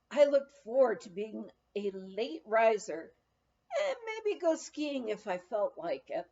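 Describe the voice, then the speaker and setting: A woman narrating a humorous memoir aloud, with expressive storytelling and deliberate phrasing. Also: mid-range voice, dull audio. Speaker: audiobook reader.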